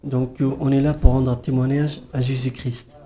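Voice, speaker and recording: A man's voice: mid-range voice, little pitch movement, moderate pace, mellow. A man from Algeria introducing his family to give a religious testimony.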